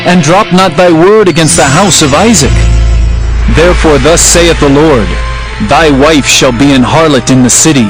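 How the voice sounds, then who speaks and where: A man's voice: on the high side, moderate pace, over music, natural voice, low-quality recording. A man playing an earnest, humble herdsman prophet, speaking solemnly and declaiming in a dramatized scripture reading. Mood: solemn warning.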